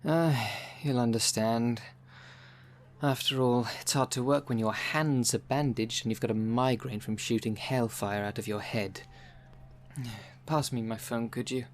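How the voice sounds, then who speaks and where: A wry, world-weary city witch, played by a man, speaks in a medium-pitched voice with long reverb trailing behind. The delivery is conversational and sardonic, as in an immersive audio roleplay, over a noisy background. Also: fairly even intonation, a little slow, natural voice. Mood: weary, grumbling. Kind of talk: ASMR audio roleplay, one-sided dialogue.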